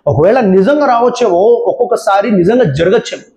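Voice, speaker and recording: A man's voice: high-pitched, expressive, fairly quick, darkish tone. A man presenting a public awareness talk, giving earnest, repeated warnings straight to viewers. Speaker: channel presenter. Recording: roomy echo.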